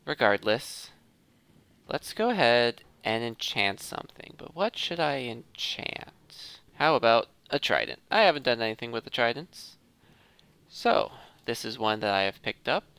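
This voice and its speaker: Bright, animated man narrating his gameplay. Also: mid-range voice, a little slow, smooth, no room sound. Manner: thinking aloud, talking through each step. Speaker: host of a gaming video series. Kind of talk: casual gameplay commentary.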